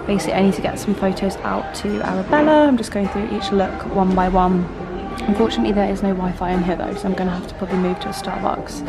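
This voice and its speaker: A woman's voice: medium pitch, quick pace, loud music behind the voice. A young woman in her twenties chatting upbeat to a handheld camera, full of fillers, a little bunged up with a cold. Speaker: vlogger. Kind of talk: casual vlog chat to camera. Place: cafés and a city street.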